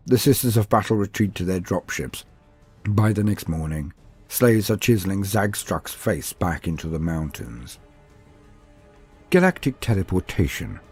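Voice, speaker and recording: A man narrates scripted war lore in a low voice with a husky grain. The delivery is that of a dramatic storyteller, recorded dry and close, with music underneath. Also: a little mellow, no room sound.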